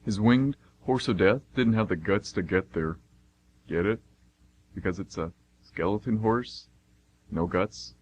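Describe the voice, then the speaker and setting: A man's voice: fairly low pitch, measured pace, pauses often, close to an everyday voice. A man playing a joking, slightly petulant train passenger in an amateur radio play. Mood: joking, miffed. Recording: faint noise and hum.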